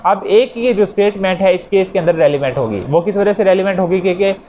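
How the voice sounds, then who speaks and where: Higher-voiced Pakistani man lecturing over noise. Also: quick pace, a little mellow. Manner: didactic, repeats and rephrases points for students. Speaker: law lecturer. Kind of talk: explanatory lecture with worked examples. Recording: noticeable background noise.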